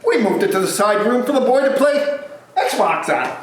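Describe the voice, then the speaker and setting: A man's voice: high voice, animated, moderate pace. A man playing a portly, polite charity collector with florid formal manners in an amateur comic play reading. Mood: polite, conceding. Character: portly, genteel, ingratiating male charity collector. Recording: cavernous echoey room, faint background noise.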